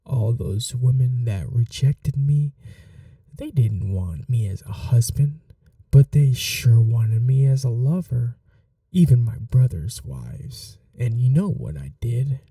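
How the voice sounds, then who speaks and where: A man voicing a bitter, possessive young male vampire in an ASMR audio roleplay, speaking softly and confessionally and sometimes dropping to a whisper. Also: mid-range voice, expressive, dry recording, recorded from a distance. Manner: slow, soft-spoken, confessional, drops to whispers.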